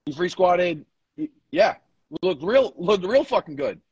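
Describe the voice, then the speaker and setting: High-voiced, husky man talking sport. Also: very animated, slow pace, a little mellow, no echo, lo-fi sound. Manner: profane, emphatic, repeats phrases. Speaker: podcast co-host, powerlifting coach. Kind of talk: casual sports talk.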